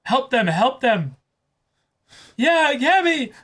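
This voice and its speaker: A man hosting a reaction show, commenting casually and excitedly, full of exclamations and swearing. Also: very high voice, slow pace.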